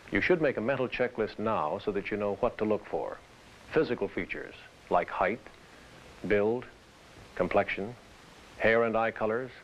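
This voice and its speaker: Male presenter, low voice, formal instructive delivery, deliberate phrasing, dull vintage film soundtrack. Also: a little hiss or hum.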